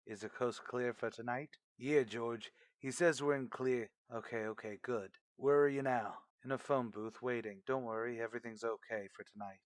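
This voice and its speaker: An actor playing a callous criminal plotting over the telephone in a radio drama, clipped and matter-of-fact. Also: male voice, mid-range voice, fairly even intonation, moderate pace, few pauses, dry recording, lightly acted. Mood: cold, businesslike. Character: cold-blooded hired killers plotting by telephone. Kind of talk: radio crime drama acting.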